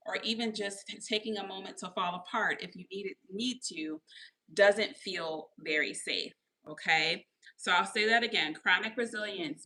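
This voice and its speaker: A woman in her thirties with a slightly nasal voice, hosting a live mindfulness talk. She explains slowly, repeats her definitions, and checks in often with "okay?" and "right?". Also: medium pitch, sharp tone, poor audio. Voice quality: a little nasal from allergies. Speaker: mindfulness coach and livestream host. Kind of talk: live-streamed mindfulness teaching.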